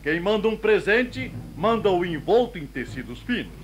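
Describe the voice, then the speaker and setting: Haughty, mocking king, high-voiced. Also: big pitch swings, moderate pace, a little hiss or hum. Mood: sardonic, amused. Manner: theatrical, sardonic. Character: mocking, haughty Judean king toying with a prisoner. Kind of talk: biblical audio drama acting.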